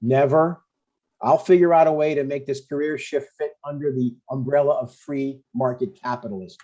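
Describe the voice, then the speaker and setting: Guy reading eager stubborn dad in his 60s, husky voice, kinda muffled lo-fi recording. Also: mid-range voice, fairly even intonation, normal speed, warm tone, close to an everyday voice. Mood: earnest, stubborn. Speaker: actor in a table read. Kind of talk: conversational comedy script read aloud.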